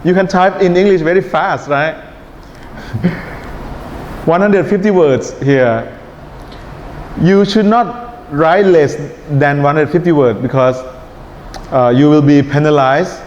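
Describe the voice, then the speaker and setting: A man with a Thai accent teaching an IELTS writing class in a relaxed lecture style, often checking in with his students. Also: fairly high pitch, slow, pauses often. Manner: relaxed, checks in with "right?" and "okay?". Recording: echoey hall, dull sound, faint hiss.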